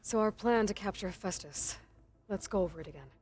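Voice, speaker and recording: A woman's voice: mid-range voice, fairly quick, darkish tone, natural voice. An actress voicing an earnest, direct young woman hunter in a video game.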